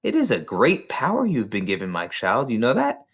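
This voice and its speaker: A man voicing a gentle, earnest woman schoolteacher in a children's storybook. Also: medium pitch, wildly swooping pitch, moderate pace, slightly rough, heavily acted. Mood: earnest, intrigued.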